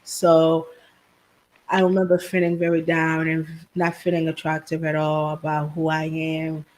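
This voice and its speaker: A woman from Haiti raised in New Jersey, a business owner telling her story softly as a guest on a video call. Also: low voice, completely flat delivery, unhurried, low-quality recording. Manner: soft-spoken storytelling with laughs, quiet. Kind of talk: casual interview chat.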